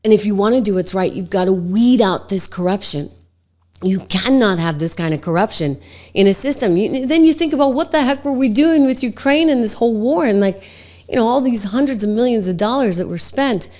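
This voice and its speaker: A middle-aged woman hosting a political talk show, slightly hoarse from a cold, talking emphatically and conversationally with lots of "like" fillers. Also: medium pitch, expressive, fairly quick, a little mellow. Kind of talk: opinionated political commentary.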